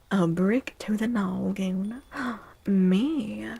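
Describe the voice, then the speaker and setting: Female ASMR live stream host: slow, soft and mellow, drowsy chatty delivery that slips into whispers. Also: medium pitch. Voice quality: soft, breathy. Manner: very chill, drowsy, often dropping to a whisper. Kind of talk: soft-spoken ASMR chat with stream viewers.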